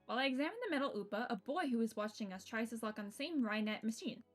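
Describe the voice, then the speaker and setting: A woman's voice: fairly high pitch, lively intonation, fast talker, soft background music. A woman streaming a game, reading its text aloud and adding casual, playful, sweary commentary.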